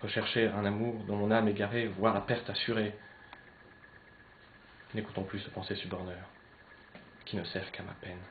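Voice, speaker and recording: A man playing an anguished young Spanish nobleman torn between love and honour, declaiming classical French verse theatrically, swinging between hushed and loud. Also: on the low side, flat delivery, fairly quick, hardly any pauses, lightly acted. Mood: despairing. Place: poetry club, live recitation. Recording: roomy, slightly dull live recording, noisy.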